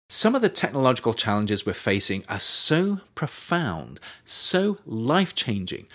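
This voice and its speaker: A middle-aged man reading his own book as a scripted audiobook, in a measured, reflective, formal way. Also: on the low side, a bit bright.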